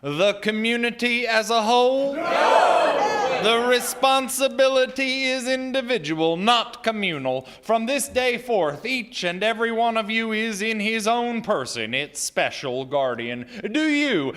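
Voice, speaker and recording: A pompous small-town reverend for radio drama. His voice is high and swoops widely, and he draws out each solemn phrase like an orator. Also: animated, a bit bright, clean tone, a faint crowd, exaggerated voice. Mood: grand exhorting. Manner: oratorical, pompous, drawn-out delivery. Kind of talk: live radio drama acting.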